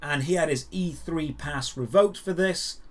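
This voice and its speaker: A man's voice: fairly high pitch, measured pace. A man hosting a daily news show, speaking in scripted commentary with an emphatic, direct presenter delivery.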